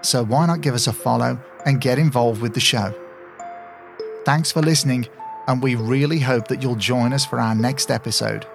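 A man reads a scripted podcast promo. His pitch is middling for a man. Music plays clearly behind him throughout. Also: steady tone, normal speed. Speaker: podcast host. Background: music bed.

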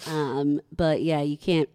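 A woman in her early forties with a lowish, smooth voice, teasing and laughing through a casual podcast chat. She speaks at a slow, easy pace on a close, dry mic. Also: steady tone, warm tone, no echo. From Richmond, Virginia. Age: 40s. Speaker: podcast co-host.

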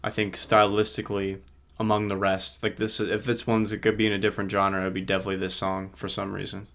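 Young man, low voice, rambling casual talk, swears a lot, sore throat. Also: monotone, fast, a bit bright. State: sore throat, feeling sick. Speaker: podcast co-host. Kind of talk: casual music review chat.

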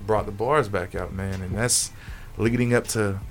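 A man from South Georgia, a rapper talking casually in an interview, rambling and relaxed. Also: lowish voice, moderate pace, faint music behind. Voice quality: raspy at times. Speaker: rapper guest.

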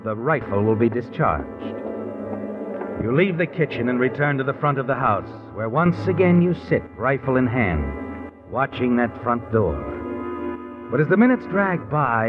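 Creepy knowing american narrator, fairly high voice, old radio suspense with music behind. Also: male voice, narrow, tinny audio. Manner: deliberate, taunting, dramatic. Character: ominous, knowing narrator addressing the killer directly. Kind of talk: 1950s radio suspense narration in second person.

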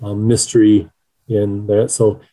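A man's voice: on the low side, flat delivery, unhurried, warm tone. A middle-aged man speaking as an author being interviewed, answering in a conversational way.

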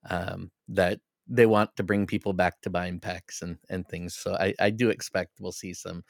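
Low, smooth-voiced man chatting about games. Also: dry recording, clean studio sound. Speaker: podcast co-host. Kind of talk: casual gaming podcast chat.

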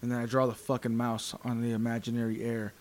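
A man's voice: medium pitch, monotone, quick pace, a little mellow. A young man co-hosting a podcast, talking casually in a rambling, hesitant way and swearing often. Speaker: podcast co-host. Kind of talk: casual chat.

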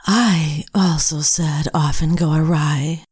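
Heroine, played by a woman: low, slow, breathy-husky voice, cool and level-headed, audio drama. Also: steady tone, a little mellow, exaggerated voice. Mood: cool, measured.

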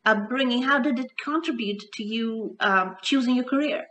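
Bright-voiced woman hosting a radio interview. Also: a little high, moderate pace, muffled recording. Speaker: radio and podcast host. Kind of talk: conversational interview. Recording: distant from the mic.

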